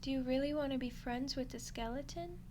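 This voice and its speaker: A woman plays an undead skeleton girl with a fairly high voice in an ASMR audio roleplay. She is lonely and friendly, rambling in a casual, awkward way straight to the listener. There is clear background noise around her. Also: a little fast, darkish tone, lightly acted. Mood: apologetic, hopeful.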